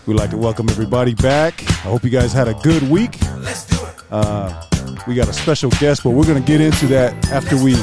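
A man's voice: mid-range voice, a little fast, loud music behind the voice. A man from Utah hosting a podcast in casual, joking banter with his co-hosts. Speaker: podcast host. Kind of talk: casual chat.

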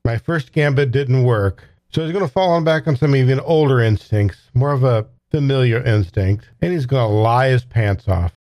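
A man's voice: medium pitch, moderate pace, few pauses, darkish tone, no echo. A man playing a tabletop game, casually improvising what his character says and does.

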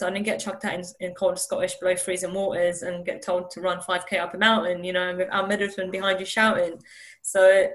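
A young woman from Iran, talking earnestly in an interview over a video call, often restarting and repeating words. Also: mid-range voice. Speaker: interview guest. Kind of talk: candid conversational interview.

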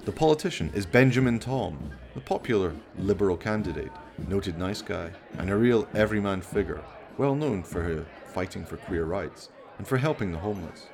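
A man's voice: sits low, animated, moderate pace, creaky, hardly any pauses, crowd noise. A man narrating a scripted radio play as its storyteller.

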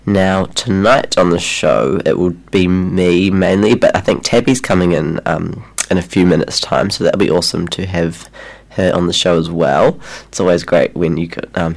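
A young New Zealand man hosts community radio, chatting loosely with plenty of ums and easy laughs. His voice sits very low and has a gravelly, fried edge, worn by a lingering cold. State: recovering from a cold. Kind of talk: casual radio chat.

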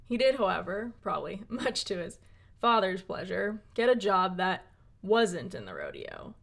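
A woman narrating a documentary story in an even, measured way. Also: on the low side, vocal fry.

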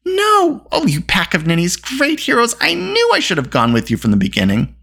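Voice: medium pitch, huge pitch swings, fast, creaky, fairly bright tone, slightly rough, voice pushed up, heavily acted. A storyteller voicing an outspoken, tart-tongued teenage princess who teases and scolds with exclamations. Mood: indignant. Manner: exclaiming, teasing, indignant.

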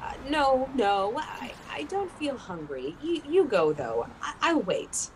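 A woman's voice: fairly high pitch, sing-song, slow, slight background noise, low-quality recording. A woman playing a lovesick, anxious, clinging young woman with a hesitant, fervent manner in a classic radio suspense play. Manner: hesitant, repeating words, fervent.

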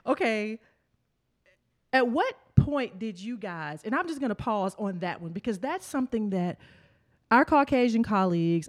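A woman hosting a panel discussion, explaining terms plainly and then asking her guests questions. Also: medium pitch. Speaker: podcast host.

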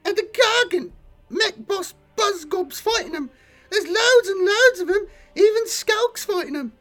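Squeaky panicky little grot, way high pitched, stammers, voice jumps all over. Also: male voice, expressive, measured pace, fairly bright tone, faint music behind, exaggerated voice. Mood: frantic. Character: small terrified goblin messenger, shrill and snivelling.

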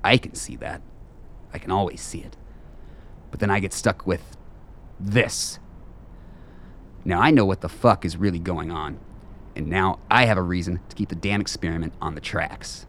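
A man's voice: deep voice, normal speed, pauses often, fairly bright tone, a little hiss or hum. A man acting a bitter, weary middle-aged soldier in a radio play, blunt and profane. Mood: agitated, frustrated.

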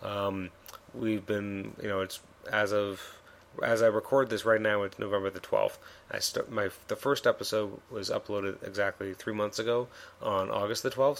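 Male podcast host, low gravelly voice a bit worn, loose rambling delivery. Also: a bit bright. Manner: rambling, many fillers and restarts. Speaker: podcast host reviewing comics. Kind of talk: casual solo podcast review.

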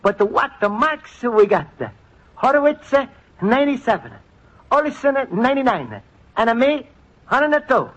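An earnest Italian immigrant. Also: male voice, very high-pitched, big pitch swings, unhurried, a bit bright, full character voice. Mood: fond. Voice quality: broken English. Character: earnest Italian immigrant man, warm and naive. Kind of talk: 1950s radio comedy acting. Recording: dull old radio broadcast.

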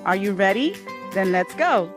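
A woman's voice: medium pitch, expressive, moderate pace, music in the background. A woman hosting a podcast, speaking with enthusiasm and a faith-centered focus, recorded on a close mic. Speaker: podcast host. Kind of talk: warm podcast interview.

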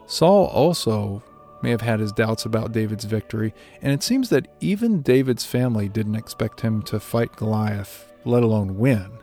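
A man reading a scripted devotional reflection on scripture in a measured, explanatory manner. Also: fairly low pitch, mellow. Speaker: devotional speaker. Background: music.

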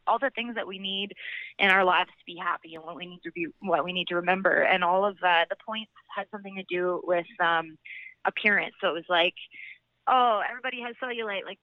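A woman's voice: medium pitch, dry recording, lo-fi sound. A Canadian woman chatting casually as an interview guest, in an informal, chatty manner. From Alberta, Canada. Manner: chatty, informal, frequent "like" and "you know". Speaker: country singer-songwriter, podcast guest.

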